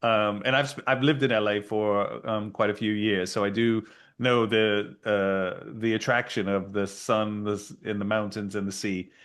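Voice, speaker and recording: A man hosting an interview podcast, talking in a halting, conversational way. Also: on the low side, level tone, fairly bright tone, clean tone. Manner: halting, with fillers and restarts. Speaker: podcast host. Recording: faint guitar music behind.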